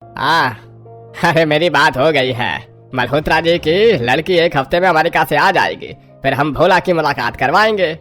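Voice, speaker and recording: A woman voicing a weary older father-in-law in an animated family drama, grumbling and hushing. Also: deep voice, normal speed, faint music behind.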